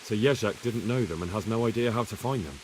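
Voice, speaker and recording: An actor playing a dutiful young squire who speaks earnestly in a video game cutscene. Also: male voice, lowish voice, fast talker, a little mellow, a little hiss or hum, natural voice, low-quality recording.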